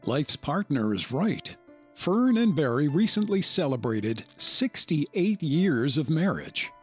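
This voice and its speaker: Male discussion host, lighter high register, jovial and wordy, faint music bed. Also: very animated, slow pace. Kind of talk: informal interviewing and hosting.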